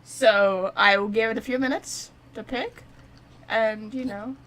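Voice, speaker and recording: A woman chatting casually and excitably as she livestreams a game, rambling to her viewers. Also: a little high, a little slow. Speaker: live streamer. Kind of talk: casual game stream chat.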